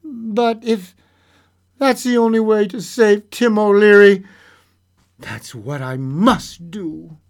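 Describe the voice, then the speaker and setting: A man voicing an honest male farmer in a told story, speaking solemnly and deliberately. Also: high voice, voice pushed up.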